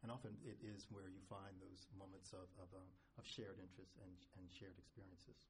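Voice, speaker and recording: A man on a conference panel, reflective and halting, with many restarts. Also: low-pitched, little pitch movement, a little hiss or hum. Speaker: foundation representative on panel. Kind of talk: panel discussion.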